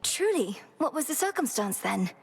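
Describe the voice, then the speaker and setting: A woman's voice: sits high, big pitch swings, normal speed, creaky, slightly rough, faint music behind, heavily acted. A woman voicing an earnest young witch princess who speaks formally and politely to her elders.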